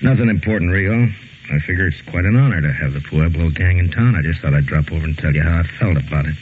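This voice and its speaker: A man with an American accent playing a stern, weary US Marshal in a 1950s radio western, dry and steady. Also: low voice, quick pace, lightly acted. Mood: cool menace. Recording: tinny noisy 1950s radio recording.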